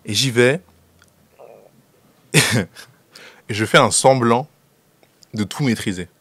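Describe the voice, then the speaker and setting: A young man with a Central African French accent, talking as a guest in a casual interview. His pitch sits in a middling range, and he laughs often and repeats words as he tells his stories. The sound is dry and close, with no room around it. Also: slow, a bit bright, breathy edge, no room sound. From Central African Republic. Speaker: film director, guest.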